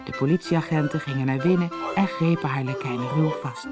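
A woman reading a fairy tale for an audiobook in expressive, dramatic scripted narration. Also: deep voice, fairly quick, over loud music.

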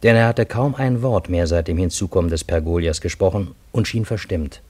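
A man's voice: low-pitched, brisk, darkish tone, slightly rough, dry recording. An actor playing a genial, easily bewildered Parisian in a German radio play, urbane and wry in his literary storytelling. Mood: wry, narrating. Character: genial, bewildered Parisian man narrating a fantastic encounter.

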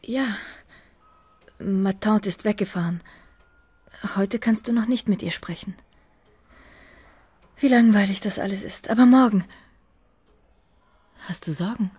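A woman's voice: mid-range voice, measured pace, long pauses, mellow, slightly rough, dry recording. An actress in a radio play, playing an earnest, disillusioned young woman in love, intimate and searching in manner. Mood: concerned. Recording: close dry studio with faint music.

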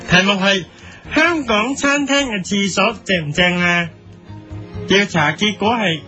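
A man's voice: high voice, pushed and bright, full character voice. A man playing a mock-serious, indignant television editorial presenter with a Hong Kong Cantonese accent, emphatic in a parody broadcast.

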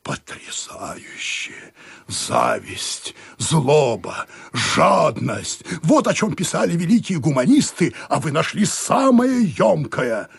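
Raspy gushing old-school captain, slow n drawn out, swoops all over, radio play. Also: male voice, on the high side, huge pitch swings, no room sound, full character voice. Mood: awed. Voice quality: raspy, gravelly. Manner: gushing, dramatic, drawn-out emphasis. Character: effusive, pompous ship's captain flattering a poet. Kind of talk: theatrical audio play acting.

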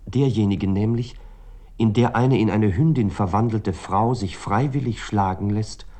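An actor playing a reflective writer recounting a night of war in a literary radio drama, articulate and brooding. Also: male voice, fairly low pitch, normal speed, dark tone, natural voice. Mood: grave, reflective.

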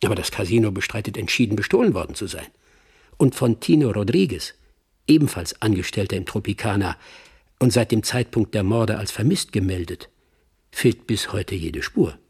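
An actor playing a measured, sceptical middle-aged Hollywood agent who narrates a radio drama, choosing his words deliberately. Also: lowish voice, lively intonation, darkish tone, breathy edge, full character voice. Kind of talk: reflective first-person radio drama narration.

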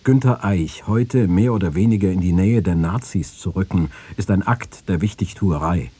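A man's voice: sits low, moderate pace, dark tone, husky, no echo. A man formally reading written quotations for a radio feature. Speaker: reader of quotations.

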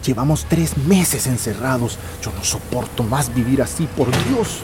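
An actor playing a desperate, worn-down migrant worker in a radio drama, earnest and pleading. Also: male voice, mid-range voice, lively intonation, measured pace, background noise, lightly acted. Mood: despairing.

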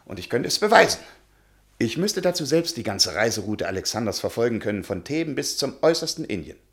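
An actor in a radio play playing a shy, pedantic history professor, precise and hesitant but easily indignant. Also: male voice, medium pitch, sing-song, a little fast, vocal fry. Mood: insistent. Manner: precise, hesitant, flaring into agitation. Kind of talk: German radio drama acting.

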